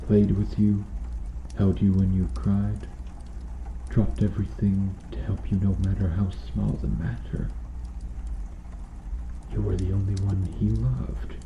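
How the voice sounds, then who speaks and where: A man playing a stern medieval knight in an ASMR roleplay, questioning formally and earnestly with dramatic pauses. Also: on the low side, little pitch movement, roomy sound, dull audio, a little hiss or hum. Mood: solemn, insistent. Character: stern medieval knight interrogating an accused noble witch.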